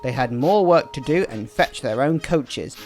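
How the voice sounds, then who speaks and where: A man's voice: mid-range voice, expressive, unhurried, a little mellow, soft background music. A man narrating a children's story as a scripted storyteller. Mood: storytelling.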